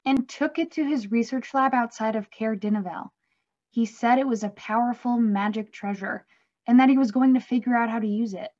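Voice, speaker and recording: A woman's voice: fairly high pitch, moderate pace, darkish tone, natural voice. Woman as a worried young villager, slightly muffled. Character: worried, pleading young woman seeking help. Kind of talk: animated fantasy table read.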